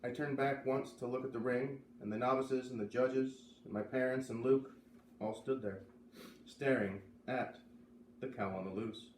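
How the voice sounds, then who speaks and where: Man reading a story aloud, echoey room. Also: medium pitch. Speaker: reader of a children's novel aloud. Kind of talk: expressive storybook read-aloud narration. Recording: roomy, distant mic, faint noise.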